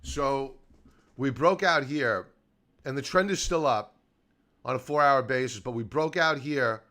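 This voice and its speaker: A man's voice: mid-range voice, unhurried, pushed and bright. A man hosting a stock trading show, giving live chart commentary in an informal, emphatic way, repeating key points and answering his own questions. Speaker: stock trading show host and technical analyst.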